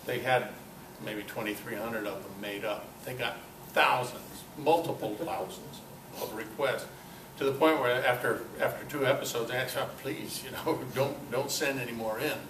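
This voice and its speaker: An older man giving an informal, halting talk to a club, with a self-deprecating, dryly humorous manner. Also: medium pitch. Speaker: guest speaker at a club meeting. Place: club meeting room, indoors. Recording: roomy, distant mic, noisy amateur recording.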